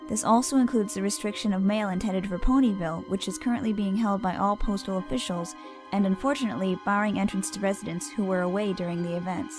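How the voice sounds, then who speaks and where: A woman playing a businesslike pony official reading out a formal, measured investigation report in an audio drama. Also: mid-range voice, over music, close to an everyday voice.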